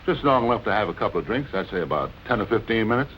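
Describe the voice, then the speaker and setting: A breezy, plain-spoken forties movie bartender. He talks fast in a fairly low, animated voice on an old soundtrack with faint noise. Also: low-quality recording. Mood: casual, frank. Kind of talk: 1940s film noir acting.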